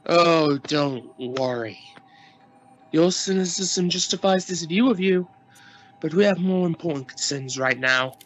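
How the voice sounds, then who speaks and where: A man's voice: a little high, measured pace, fairly bright tone, faint music behind, rough recording. An actor playing a bossy, nervous and exasperated expedition crewman in a sci-fi radio play. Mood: steady, dismissive.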